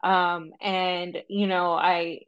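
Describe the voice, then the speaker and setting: A woman's voice: lowish voice, level tone, muffled recording. A young woman from Peru who grew up in Florida, a podcast guest talking casually and rambling with many ums. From Peru, raised in Florida. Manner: chatty and rambling, with many ums and quick asides. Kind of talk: casual interview talk.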